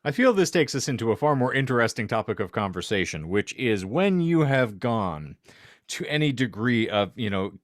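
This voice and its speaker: A man's voice: mid-range voice, sing-song, normal speed, no room sound. A man hosting a casual panel podcast, speaking in wordy, elaborately formal phrases. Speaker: podcast host.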